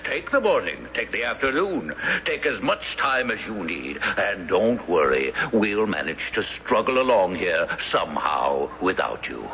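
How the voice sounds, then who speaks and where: A man's voice: low voice, very animated, unhurried, hardly any pauses, bright, forceful tone, exaggerated voice. An actor in an old radio drama playing a domineering, exasperated banker who scolds his brother with impatient sarcasm. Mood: sarcastic. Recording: dull lo-fi radio broadcast with faint hiss.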